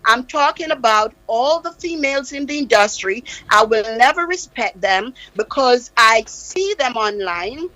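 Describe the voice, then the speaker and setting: A woman's voice: a little high, expressive, measured pace, hardly any pauses, sharp tone, dry recording, low-quality recording. A Jamaican woman guest on a live radio interview, speaking with passionate, insistent emphasis. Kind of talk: heated interview talk. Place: radio studio.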